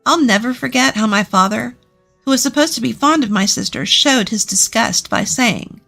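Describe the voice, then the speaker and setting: A woman's voice: on the high side, normal speed, vocal fry, sharp tone, faint music behind. A woman reading a scripted first-person story as its narrator.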